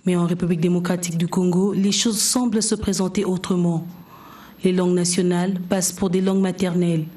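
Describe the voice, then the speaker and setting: A woman's voice: fairly low pitch, flat delivery, fairly quick, dark tone. A woman reporter narrating a television news report in a formal, scripted way.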